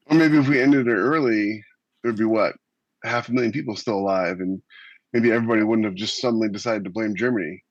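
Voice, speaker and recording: A man's voice: fairly low pitch, quick pace, no echo. An American man co-hosting a podcast over an online call, chatting casually and telling anecdotes. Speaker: podcast co-host. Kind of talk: casual panel discussion. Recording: dry, slightly dull audio.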